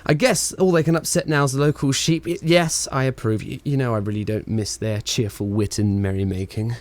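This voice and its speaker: A male actor as a sardonic wireless engineer from the 1920s in a radio play. He rambles wryly, theatrically flippant and reluctant, in a mid-pitched voice. The sound is dry and close. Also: fairly even intonation, fairly quick, darkish tone, dry recording, lightly acted. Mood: wry, amused.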